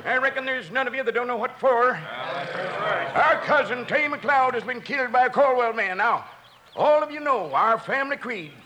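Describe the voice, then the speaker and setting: Stern frontier clan boss, high-set voice, combative Western drawl, noisy vintage radio recording. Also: male voice, animated, moderate pace, sharp tone, heavily acted. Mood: grim, commanding. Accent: American Western drawl. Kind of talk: old-time radio Western acting.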